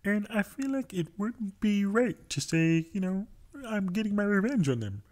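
A man's voice: high-pitched, lively intonation, moderate pace, darkish tone, pitched above the performer's own voice. A man voicing a prim, self-justifying schemer who talks in a chatty, evasive way. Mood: evasive, smug.

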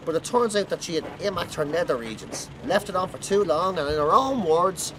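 Higher-voiced Irish man reading outdoors. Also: lively intonation, fairly quick. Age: middle-aged. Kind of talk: dramatic book reading. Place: outdoors at seafront table. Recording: faint outdoor traffic noise.

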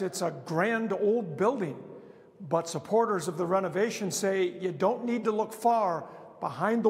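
Middle-aged male field reporter, firm broadcast read, higher register, dry. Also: husky, no echo. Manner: steady, emphatic reporter delivery. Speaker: chief political reporter. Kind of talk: live news report. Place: outdoors at night by a government building. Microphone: handheld station mic.

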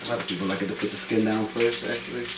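A man's voice: fairly low pitch, fairly even intonation, racing. A man in his thirties hosting a casual home cooking show, joking and swearing loosely as he cooks. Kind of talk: casual cooking demo with banter. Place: small home kitchen. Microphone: camera mic from across the room. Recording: echoey kitchen, distant mic, noisy.

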